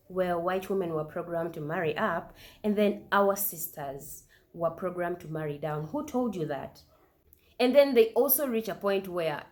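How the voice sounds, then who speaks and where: A woman with a slightly low voice talks through her reaction video. She argues her point in animated bursts and keeps asking sharp, disbelieving questions. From Uganda. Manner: animated, incredulous rhetorical questions. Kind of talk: opinionated commentary.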